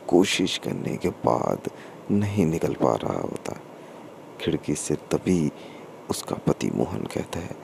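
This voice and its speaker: A man narrating a scripted story in a halting way, in short broken phrases. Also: rumbling low, creaky, a little mellow. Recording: noticeable background noise.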